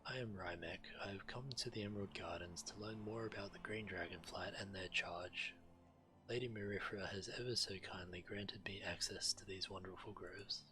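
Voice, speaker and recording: A man playing a courteous scholar in a fantasy game, speaking in formal, measured and polite phrases, with music underneath. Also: low-pitched, steady tone, bright, forceful tone, poor audio. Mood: courteous, earnest. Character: courteous male scholar visiting enchanted gardens. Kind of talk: fantasy game character dialogue.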